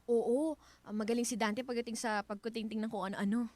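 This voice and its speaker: A woman with a Filipino accent playing a soft-hearted, attached wife in a radio drama, speaking in a hesitant, emotive way. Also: a little high, fairly even intonation, brisk, no room sound, close to an everyday voice. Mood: eager.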